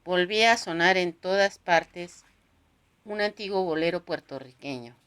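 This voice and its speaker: Woman w a pretty low voice reading a novel out loud, expressive, pauses between phrases. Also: clear, resonant tone. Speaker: narrator reading a novel aloud. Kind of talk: expressive literary audiobook narration.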